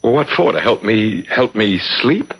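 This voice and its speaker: An American actor playing a stuffy middle-aged club man and husband in a 1970s radio drama, halting and ponderous. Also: fairly low pitch, very animated, fairly bright tone, husky, exaggerated voice. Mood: groggy, bewildered. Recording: noisy old radio broadcast.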